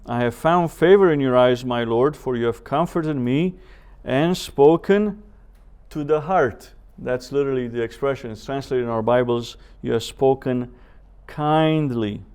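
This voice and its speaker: A man teaching a Bible seminar as a professor, speaking in a measured way with deliberate pauses, scripture quotations and rhetorical questions. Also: medium pitch, darkish tone, clear, resonant tone.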